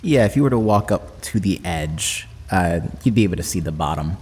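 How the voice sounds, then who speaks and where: A man's voice: low-pitched, expressive, brisk, a little mellow, breathy edge, in a large hall. A man running a tabletop roleplaying game as game master, narrating in a dramatic, descriptive way.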